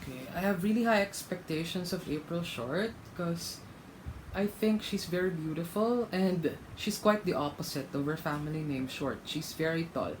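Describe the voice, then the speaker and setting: A man's voice: a little high, normal speed, a little hiss or hum, poor audio. A man commenting casually as a reaction vlogger, frank and laughing between remarks. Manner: chatty, laughs mid-sentence, frank critique. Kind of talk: casual commentary, rating contestants.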